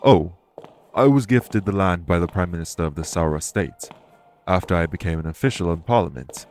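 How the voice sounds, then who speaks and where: Audio roleplay lead: male actor as dignified ex-king and fae minister, low register, formal, reassuring delivery. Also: expressive, a little mellow, soft background music. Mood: reserved, matter-of-fact. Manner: formal, measured, reassuring phrasing. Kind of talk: audio roleplay spoken to the listener.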